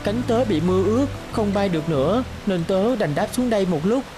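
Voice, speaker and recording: A woman's voice: mid-range voice, expressive, a little fast, music in the background, close to an everyday voice. A woman voicing a tiny, sweet girl fairy in a cartoon, childlike and plaintive. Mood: sweet, wistful. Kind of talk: cartoon voice acting.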